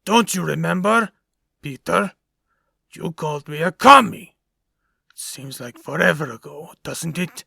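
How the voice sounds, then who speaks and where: Creaky, menacing supervillain acted by a man. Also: fairly high pitch, lively intonation, pushed and bright, dry recording, heavily acted. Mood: sly, taunting. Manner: mock-wistful, sly, deliberate threats. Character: menacing, unhinged male supervillain, taunting. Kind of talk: comic book dub acting.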